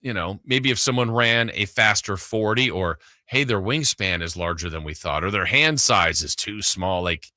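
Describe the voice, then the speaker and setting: A man's voice: on the low side, animated, normal speed, few pauses, sharp tone, no echo, studio quality. A man hosting a sports radio show, talking casually and thinking aloud in a conversational way. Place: radio studio. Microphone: close broadcast studio mic.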